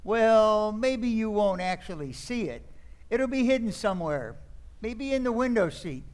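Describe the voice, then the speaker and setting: A man playing an excitable, sardonic drama critic nephew in an old-time radio play. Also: sits high, a little slow. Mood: breezy, wry. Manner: stammering when flustered.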